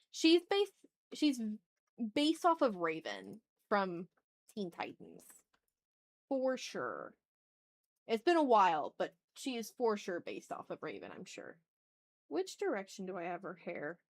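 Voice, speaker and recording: A woman chatting casually on an art livestream, thinking aloud with self-deprecating remarks and some swearing. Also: mid-range voice. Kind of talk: casual livestream chat while drawing.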